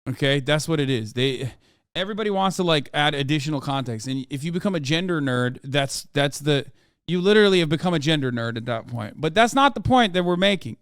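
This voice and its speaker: A man's voice: on the high side, lively intonation, a little fast, a bit bright, smooth, dry recording. A man in his thirties whose first language is Turkish, streaming a blunt, profane, exasperated reaction rant into a close mic. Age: 30s. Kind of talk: casual profane reaction commentary.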